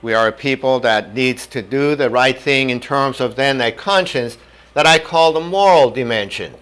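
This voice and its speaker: An older man from North Dakota whose first language was German, giving a measured lecture on medical ethics in a classroom on a lapel mic. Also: medium pitch, fairly bright tone, smooth. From North Dakota, USA. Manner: measured, plain-spoken teaching with homely examples. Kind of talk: whiteboard lecture. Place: indoor classroom in front of a whiteboard.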